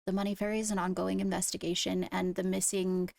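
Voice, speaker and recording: A woman playing a measured, diligent fantasy court investigator in a roleplay, with formal, deliberate, slightly hesitant phrasing and a creaky voice. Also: on the low side, little pitch movement, a little mellow, dry recording, studio quality. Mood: measured, businesslike. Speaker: roleplay player acting as an investigator. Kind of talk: improvised in-character roleplay dialogue.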